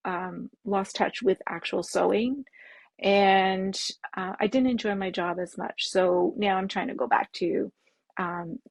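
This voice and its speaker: A woman whose first language is French, a pattern designer from Canada, talking frankly in an interview with many pauses and ums. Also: mid-range voice, vocal fry, no echo. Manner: frank, halting with frequent ums. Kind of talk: casual interview chat.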